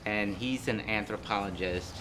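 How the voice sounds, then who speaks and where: A man in the audience making a halting comment, full of fillers like "um" and "like". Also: fairly low pitch, noisy background. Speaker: audience member. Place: community room.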